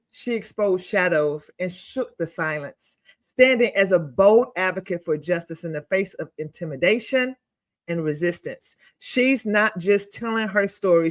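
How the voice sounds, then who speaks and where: Woman host, emphatic, reads guest intros with pauses for drama. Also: fairly low pitch. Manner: emphatic, pausing between words.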